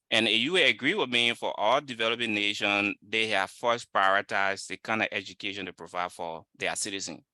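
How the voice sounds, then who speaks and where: A man's voice: fairly low pitch, sharp tone, no room sound. A man from Liberia with a Liberian accent, giving an informal webinar lecture in an explanatory, emphatic way. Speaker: webinar speaker, student researcher.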